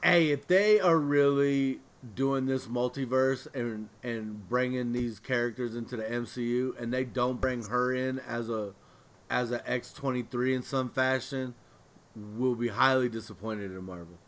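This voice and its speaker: A man's voice: medium pitch, fairly even intonation, unhurried. A man co-hosting a podcast, chatting casually about pop culture. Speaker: podcast co-host.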